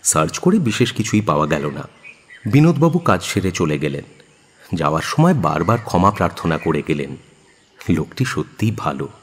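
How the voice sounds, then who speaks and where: Story narrator, male: very low, husky, animated delivery for dramatised fiction, in a reverberant space. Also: moderate pace. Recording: long hall-like reverb.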